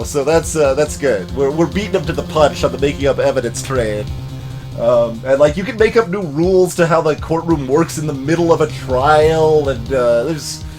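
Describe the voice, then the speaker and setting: A man hosting a let's play, giving casual commentary on the game. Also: on the high side. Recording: music playing behind the voice.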